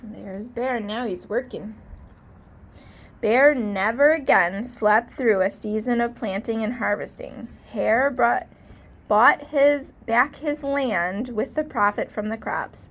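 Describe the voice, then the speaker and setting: A woman reading a storybook aloud as a teacher, expressive and stopping to put questions to children. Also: on the high side. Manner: expressive, drawn-out emphasis, prompting listeners with questions. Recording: light background noise.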